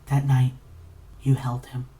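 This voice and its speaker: A woman reading literary fiction as a dramatic narrator, intense and deliberate in delivery. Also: extremely low pitch, completely flat delivery, warm tone. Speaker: audiobook-style reader of fan fiction.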